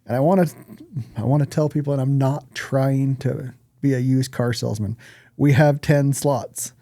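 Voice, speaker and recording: Male American podcast co-host, blunt and profane, soft-toned delivery, very dry sound. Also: mid-range voice, fairly even intonation, normal speed, dry recording. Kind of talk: casual podcast chat.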